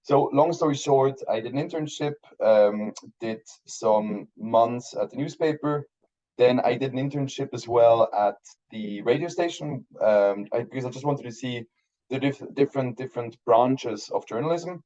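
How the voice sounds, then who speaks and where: Mellow-voiced Swiss man, rambling chat. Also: mid-range voice, moderate pace, muffled recording. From Switzerland. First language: Swiss German. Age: 30s. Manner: rambling, many restarts and fillers. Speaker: guest filmmaker. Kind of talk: casual interview chat.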